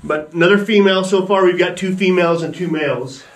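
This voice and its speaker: Relaxed man chatting in a goat barn. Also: high voice, fast, rough recording. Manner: relaxed, chatty, matter-of-fact. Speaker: ranch owner and vlogger. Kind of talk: casual explaining to camera. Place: wooden barn goat pen.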